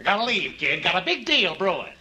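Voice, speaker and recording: A man's voice: high-pitched, very animated, brisk, pushed and bright, slightly rough, full character voice. An actor playing a brash, slangy male hustler boyfriend in a 1940s radio comedy, full of cocky salesman patter. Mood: breezy, cocky. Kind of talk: 1940s radio sitcom acting. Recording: noisy 1940s radio recording.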